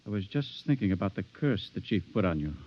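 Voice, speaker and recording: An actor playing an uneasy scientist who speaks hesitantly and broodingly in a 1950s radio drama. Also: male voice, deep voice, big pitch swings, quick pace, dry recording, muffled recording. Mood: troubled. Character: uneasy scientist, troubled and doubtful. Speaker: bomb scientist. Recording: vintage radio broadcast with faint hiss.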